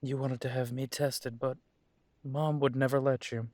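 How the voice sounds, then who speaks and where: A young man playing a restless grown son coming home, halting at first and then pressing. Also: mid-range voice, little pitch movement, darkish tone, dry recording, lightly acted. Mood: wry. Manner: hesitant, then pressing and insistent.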